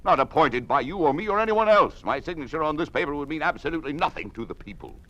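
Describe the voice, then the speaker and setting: An actor playing a weary, principled governor in an old-time radio drama, speaking in earnest, measured oratory. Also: male voice, a little high, animated, a bit bright, highs cut off. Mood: indignant. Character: weary, principled male governor resisting a totalitarian regime.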